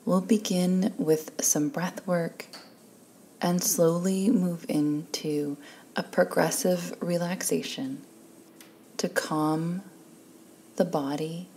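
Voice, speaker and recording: A woman leading a guided meditation in a soft, breathy, calm and soothing voice, leaving long pauses between phrases. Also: lowish voice, slight background noise, poor audio. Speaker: guided relaxation instructor.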